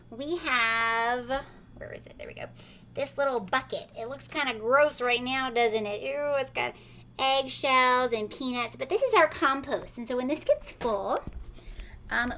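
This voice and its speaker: A woman's voice: a little high, bright, forceful tone, a little hiss or hum. A woman teacher doing a children's read-aloud, adding chatty explanations in simple words and often checking in with short questions. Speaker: teacher reading a picture book aloud to children.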